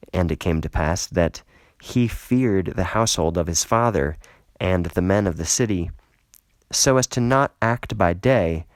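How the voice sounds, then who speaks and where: A man narrating scripture from a script in a solemn, deliberate and dramatic manner. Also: low voice, no room sound. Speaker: Bible reader.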